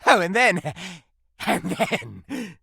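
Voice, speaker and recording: Flamboyant, lovelorn candelabra for a dating game: grandly theatrical, hugely varied pitch, very dry close recording. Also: male voice, sing-song, drawn-out words, vocal fry, bright, forceful tone, breathy edge, no room sound, heavily acted. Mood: seductive. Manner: theatrical, florid, pompous, swooning delivery. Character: flamboyant, melodramatic, lovelorn male talking candelabra. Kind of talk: dating video game character acting.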